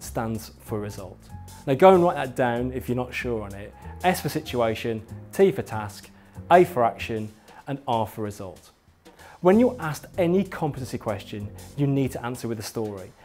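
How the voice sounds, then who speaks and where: A man narrates an instructional film in a medium-pitched voice. He explains each point with clear emphasis and encouragement, speaking straight to the learner. Faint music plays behind him. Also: darkish tone. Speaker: instructor, presenter. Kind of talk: scripted instructional narration addressed to viewer.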